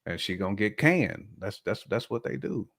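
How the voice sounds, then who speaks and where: Casual podcast voice: American man, lower register, colloquial and slangy, leaning on repetition for emphasis. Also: very animated, moderate pace, creaky, a bit bright.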